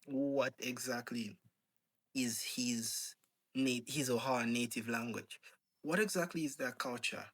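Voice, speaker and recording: A man's voice: mid-range voice, a little slow, fairly bright tone. A man talking in a casual, rambling monologue, posing rhetorical questions and leaning on fillers. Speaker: channel host.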